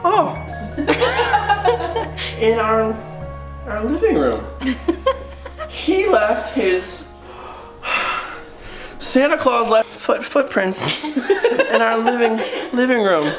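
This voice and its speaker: An American man voicing his overjoyed mother, gushing, halting and giggly with amazement as part of a comic story. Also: extremely high pitch, sing-song, echoey room, loud music behind the voice, voice pushed up, heavily acted. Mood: giddy amazement.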